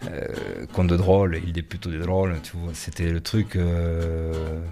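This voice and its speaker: A man's voice: low-pitched, level tone, measured pace, warm tone, faint music behind. A man recalling old sayings, hesitating often. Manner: hesitant, many fillers.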